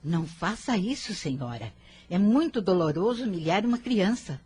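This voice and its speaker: A woman acts out a kind old grandmother from a radio play. Her voice is heavily husky and medium in pitch, and she speaks with gentle, unhurried dignity. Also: big pitch swings. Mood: protective. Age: older. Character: kindly, composed elderly grandmother, a rabbi-like teacher's wife. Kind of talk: radio drama acting.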